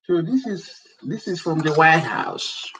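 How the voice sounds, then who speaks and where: A middle-aged man from Africa, a guest telling lively stories about public service in a casual talk, laughing often. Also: a little high, measured pace, husky, recorded from a distance. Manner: animated storyteller, laughs often. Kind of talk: casual radio conversation.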